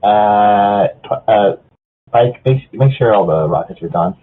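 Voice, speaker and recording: A man's voice: fairly low pitch, animated, slow pace. A man giving an informal amateur story narration, stumbling over lines, recorded through a muffled phone-like channel. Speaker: fanfiction narrator.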